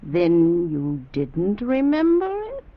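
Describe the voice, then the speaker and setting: An eerie innkeeper woman in a vintage radio thriller. Her speech is very slow and knowing, with swooping pitch, heard through muffled old sound. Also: big pitch swings, heavily acted. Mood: knowing, ominous. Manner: measured, knowing, ominous. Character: eerie, watchful woman innkeeper, quietly insistent. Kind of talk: 1940s-50s radio drama acting. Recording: dull, noisy vintage radio recording.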